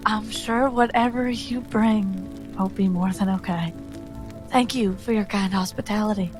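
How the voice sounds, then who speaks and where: A gentle young fantasy heroine, voiced by a woman, courteous and a touch anxious. Her voice is medium-pitched, with music clearly audible behind it. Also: fairly even intonation, measured pace, close to an everyday voice. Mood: polite. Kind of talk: fantasy roleplay dialogue.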